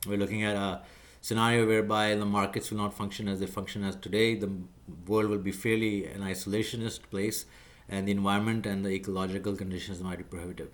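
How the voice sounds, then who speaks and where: Lowish-voiced man lecturing with many fillers. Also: little pitch movement. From Pakistan. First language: Urdu. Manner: measured, lecturing, many fillers and self-corrections. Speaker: video creator explaining job skills. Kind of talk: unscripted talking-head explainer.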